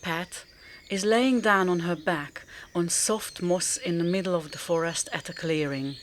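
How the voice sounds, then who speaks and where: A woman's voice: lowish voice, a little slow, slight background noise. A woman narrating a scripted radio drama as its storyteller.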